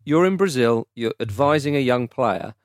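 Animated man in playful football banter. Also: medium pitch, normal speed, no room sound, clean studio sound. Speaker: podcast host. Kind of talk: casual football banter chat.

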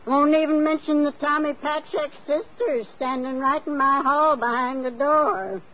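Actress doing a sly older landlady lady, wisecracking, highish voice, muffled old time radio. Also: normal speed, lightly acted. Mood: sly, grudging. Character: brassy, mercenary older female rooming-house keeper. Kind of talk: 1940s radio acting.

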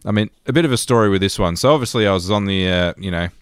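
A man's voice: low voice, very fast, smooth, no room sound, clean studio sound. A man in his thirties with an Australian accent, co-hosting a podcast and telling stories in a casual, chatty way. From rural New South Wales, Australia. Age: 30s. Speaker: podcast co-host. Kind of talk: casual storytelling chat.

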